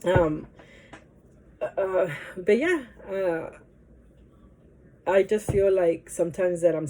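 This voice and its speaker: A woman's voice: lowish voice, dark tone. A woman chatting casually to her camera in a rambling, hesitant way, her nose stuffy from allergies. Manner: rambling, hesitant, many fillers. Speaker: vlogger.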